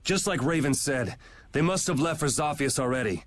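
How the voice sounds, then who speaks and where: A man's voice: fairly high pitch, normal speed, close to an everyday voice. A man voicing a cool, sardonic young swordsman. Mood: calm. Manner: laid-back, wry, firm when urgent. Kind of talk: game voice acting. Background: game music.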